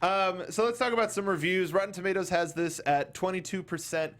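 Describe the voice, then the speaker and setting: A man hosting a casual panel chat, rambling through animated asides in stop-start phrases. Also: high voice, fairly quick, background noise. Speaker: talk show host.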